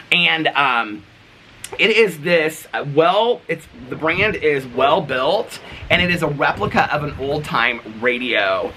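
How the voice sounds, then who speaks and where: Male vlogger, sharp bright tone, chatty and gushing, loose rambling delivery, slight background noise. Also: a little high. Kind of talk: casual, chatty vlog talk to viewers.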